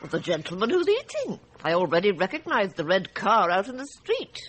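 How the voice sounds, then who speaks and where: A husky-voiced witness speaks with lively, swooping intonation. She is deferential but sure of what she saw, in a radio drama style. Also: medium pitch, moderate pace. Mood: confident.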